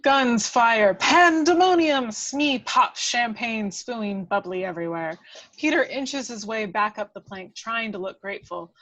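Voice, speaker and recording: High-voiced woman reading screenplay action. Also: animated, a little slow, fairly bright tone, rough recording. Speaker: stage-direction reader. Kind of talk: scripted narration of a screenplay.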